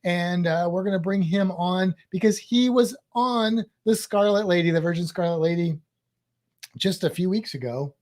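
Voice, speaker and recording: A man's voice: sits high, normal speed, a little mellow. A man co-hosting a casual cruise podcast, joking around and making puns. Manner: jokey, playful with puns. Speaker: podcast co-host. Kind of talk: casual chat.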